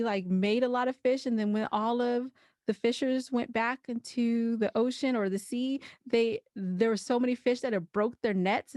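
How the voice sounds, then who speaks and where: A woman with a fairly high voice chats as a podcast guest. Her talk is casual and sassy, full of playful joking, and the sound around her is dry and free of echo. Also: a little mellow. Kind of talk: casual chat.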